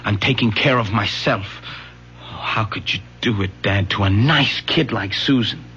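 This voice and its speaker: An actor playing an earnest, resolute but hurt young son in a radio drama. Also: male voice, on the low side, a little slow, pushed and bright. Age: young adult. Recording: dull, noisy old radio broadcast.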